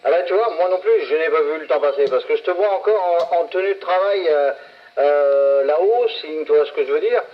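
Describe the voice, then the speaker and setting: A man's voice: fairly high pitch, brisk. A man chatting casually as a CB operator, hesitating and repeating himself. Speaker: CB radio operator. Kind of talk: casual chat. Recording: muffled CB radio transmission.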